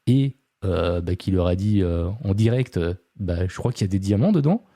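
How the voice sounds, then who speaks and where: A man's voice: low-pitched, lively intonation, moderate pace, warm tone, no room sound, studio quality. A man hosting a podcast, telling a true-crime story casually, with many fillers and chatty asides to his co-host.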